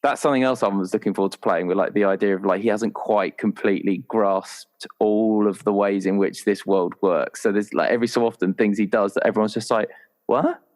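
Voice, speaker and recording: A man with a fairly low voice talks casually as a tabletop player thinking aloud. His manner is playful, and he drops into bits of imagined dialogue as he goes. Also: steady tone, a little fast, darkish tone. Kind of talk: casual chat, inventing a character.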